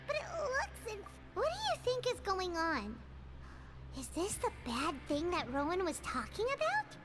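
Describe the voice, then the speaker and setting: Squeaky, very high childlike fairy girl. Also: wildly swooping pitch, unhurried, very noisy, full character voice. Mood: puzzled, alarmed. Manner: refers to self in third person. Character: tiny childlike floating fairy girl companion, excitable and whiny. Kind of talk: video game character acting. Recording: loud game music behind voice.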